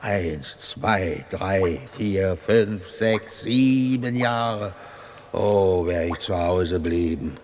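A man's voice: low-pitched, lively intonation, drawn-out words, darkish tone, a little hiss or hum, heavily acted. A man playing a hungry, down-to-earth squire in a comic rhymed radio play, plain-spoken and comically plaintive. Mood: mournful.

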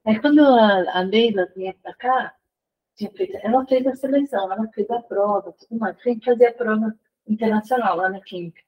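A woman professor tells a story to the group in a slightly high, husky voice. She restarts often as she recounts events, and the recording is dull and lo-fi. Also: a little fast. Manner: recounting with restarts and false starts. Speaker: university professor.

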